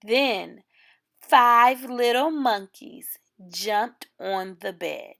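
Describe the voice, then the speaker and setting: A woman reading a children's picture book aloud, with expressive, deliberate phrasing for young listeners. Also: a little high, vocal fry. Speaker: storytime reader.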